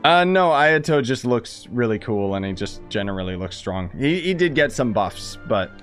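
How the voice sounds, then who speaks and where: A male streamer chatting casually over his game, sarcastic and rambling in a mid-pitched voice. Faint game music plays under his talk. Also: big pitch swings, normal speed, fairly bright tone. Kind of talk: casual gameplay commentary.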